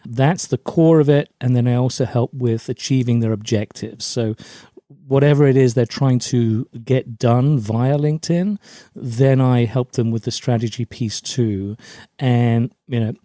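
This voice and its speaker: Middle aged guy chatting as a podcast guest, mellow voice, really dry sound, tells little stories. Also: medium pitch, fairly even intonation, measured pace, dry recording. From Germany, in a British forces family. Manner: chatty, anecdotal storytelling. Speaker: podcast guest, LinkedIn brand coach. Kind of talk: conversational interview answers.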